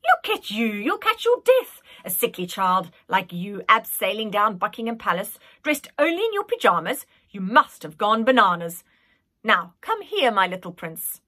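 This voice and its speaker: Woman acting a bright, bossy old nanny. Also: medium pitch, big pitch swings, moderate pace. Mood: fussing, evasive. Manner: fussing, emphatic, scolding affectionately. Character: plump, cheery, bossy old nanny in her eighties.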